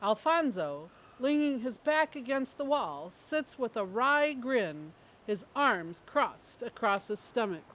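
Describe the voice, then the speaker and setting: A woman's voice: fairly high pitch, very animated, slow, fairly bright tone. A woman narrating a scripted story as a storyteller, reading out the stage directions. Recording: clearly noisy background ambience.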